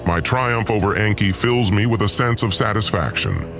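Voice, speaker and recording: A man's voice: lowish voice, animated, normal speed, over music. A man playing a cold, vengeful usurper lord in a sci-fi audio drama, pompous and menacing, narrating his own schemes theatrically. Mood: cold, gloating. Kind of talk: first-person audio drama narration and dialogue.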